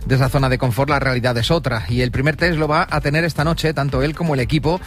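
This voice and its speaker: A male sports reporter with an accent from Spain. He speaks rapidly at a medium pitch in a radio news report, with light music underneath. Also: steady tone. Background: faint music bed.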